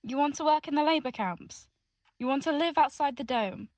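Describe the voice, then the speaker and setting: A woman's voice: high voice, normal speed, a little mellow, dry recording, natural voice. A British woman playing an anxious, secretive young lover in an intimate audio drama. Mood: pleading, upset.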